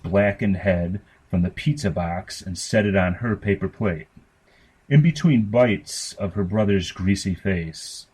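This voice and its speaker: A man reading fiction aloud as scripted narration, in a matter-of-fact, deadpan manner. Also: sits low, rough recording. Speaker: story reader.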